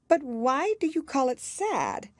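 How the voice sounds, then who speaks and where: A woman's voice: high-pitched, big pitch swings, unhurried, no room sound, voice pushed up. A woman voicing a polite, curious young girl in a storybook, earnest and eager to please.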